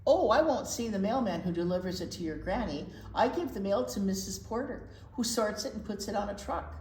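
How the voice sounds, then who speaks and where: A woman's voice: mid-range voice, expressive, brisk, a little mellow, echoey room, lightly acted, low-quality recording. A woman reading aloud as an obliging, slightly bashful male post office clerk in a children's storybook. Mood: obliging, explaining.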